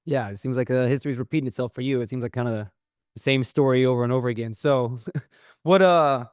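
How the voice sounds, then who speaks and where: A man's voice: medium pitch, fast talker, darkish tone, clear, resonant tone, no echo, studio quality. A man hosting an interview, asking loose, rambling questions full of fillers. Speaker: podcast host, interviewer.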